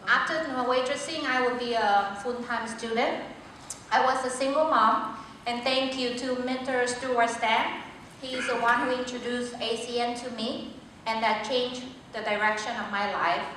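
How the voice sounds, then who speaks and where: A woman's voice: a little high, fairly even intonation, unhurried, a bit bright, a little hiss or hum. A woman from Vietnam giving an earnest personal testimonial at a business event, speaking in short, simple sentences. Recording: echoey room from far off.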